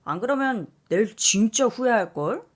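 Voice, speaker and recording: A woman voicing a calm, playful young male office coworker who teases gently. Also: a little high, normal speed, pitched above the performer's own voice. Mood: teasing. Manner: teasing, gently joking.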